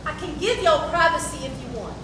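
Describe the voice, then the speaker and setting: A woman's voice: animated, brisk. A woman playing a protective, worried mother who pleads and gives orders in folksy Southern wording at a stage play reading. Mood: coaxing. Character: protective, worried mother of a grown daughter, bossy. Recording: very echoey room from far off, rumbling noise.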